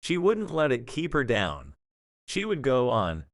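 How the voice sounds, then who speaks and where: A man narrating a scripted story for an audio drama in a measured, expressive storytelling manner. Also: mid-range voice, no echo, clean studio sound.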